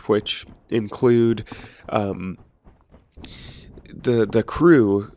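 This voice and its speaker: Slow, low-voiced man with a cold. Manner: relaxed and rambling, with frequent ums and uhs. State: sniffly with a cold. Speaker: host of a weapons channel. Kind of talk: casual film-weapons commentary.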